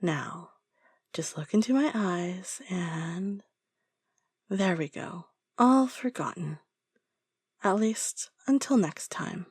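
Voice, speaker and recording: A woman playing a secretive, hypnotic enchantress in a scripted audio roleplay, hushed and soothing. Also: on the low side, animated, takes it slowly, long pauses, slightly rough, heavily acted. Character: hypnotic, secretive woman wiping someone's memory.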